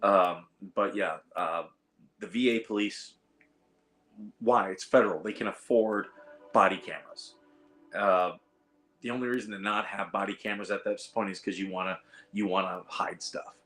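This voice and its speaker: A hoarse man hosting a casual livestream, rambling with lots of fillers and reading out the chat. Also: lowish voice, fairly even intonation, moderate pace, fairly bright tone. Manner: rambling, reads chat aloud, frequent fillers. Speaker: host of a veterans livestream. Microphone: iPad.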